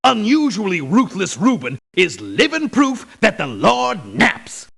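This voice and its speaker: An actor playing a pompous, pious preacher turned ruthless mercenary in a video game, sermonizing in formal biblical phrases with a gravelly rasp. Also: male voice, high-pitched, huge pitch swings, unhurried, fairly bright tone, full character voice. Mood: sanctimonious, solemn. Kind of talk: theatrical video game voice clips. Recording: clipped lo-fi game voice samples.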